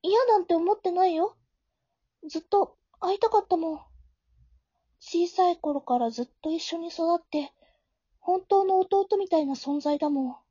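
A woman playing a flustered, caring high school girl who narrates her own story in an amateur audio drama, in a soft, girlish, expressive way. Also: normal speed, dry recording. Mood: caring, reassuring. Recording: dull, lo-fi home recording.

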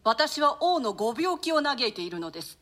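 A woman's voice: high-pitched, sing-song, normal speed, fairly bright tone, full character voice. A woman acting a stern, grieving old duchess and grandmother in a classic stage play, speaking in a theatrical, archaic manner. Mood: gentle scolding. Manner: lamenting, theatrical, admonishing.

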